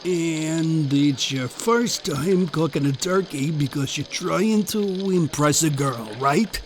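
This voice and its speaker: A man's voice: a little high, slight background noise. Smug turkey hotline agent, played by a man. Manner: smug, interrupting, slow deliberate guesses. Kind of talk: comedic sketch.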